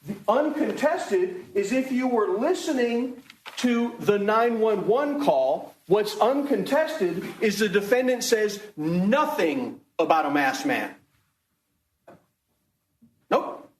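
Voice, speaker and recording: A man speaking as a prosecutor to a jury, making an emphatic, deliberate closing argument with repeated phrases, recorded in a courtroom. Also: high voice, animated, echoey room. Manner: emphatic, deliberate, repetitive rhetoric. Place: indoor courtroom.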